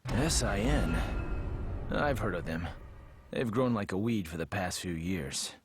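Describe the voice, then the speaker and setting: Cartoon investigator, very low voice, businesslike, plain-spoken, faint background noise. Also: male voice, expressive, unhurried. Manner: matter-of-fact, plain-spoken. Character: businesslike, serious male investigator ally. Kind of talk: English dub animated film acting.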